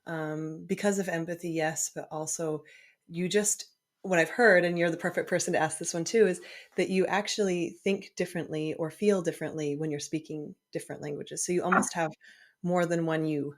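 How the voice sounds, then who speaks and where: A woman's voice: fairly low pitch, fairly even intonation, moderate pace, slight background noise. A woman hosting an interview, reflecting aloud on her own experience. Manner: reflective, thinks aloud in a personal way. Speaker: podcast host. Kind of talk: conversational interview.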